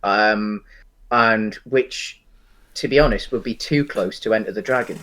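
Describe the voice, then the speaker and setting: Male podcast co-host, lower register, casual film discussion, halting and explanatory delivery. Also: fairly bright tone, rough recording.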